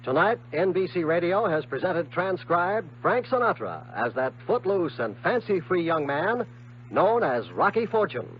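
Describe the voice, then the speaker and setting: Animated American male radio announcer. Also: high voice, normal speed. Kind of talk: 1950s radio announcing, scripted. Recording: muffled, noisy 1950s radio recording.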